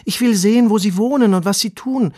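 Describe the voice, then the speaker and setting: A man voicing a curious, restless young male earthworm who talks in a high, excited, pleading way in a children's bedtime story. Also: a little fast, no room sound, clean studio sound.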